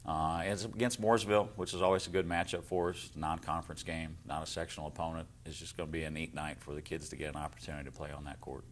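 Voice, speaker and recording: Really deep american coach guy chatting in an interview, plainspoken, bit of background noise. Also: little pitch movement, quick pace, hardly any pauses. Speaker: basketball coach. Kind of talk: casual sports interview.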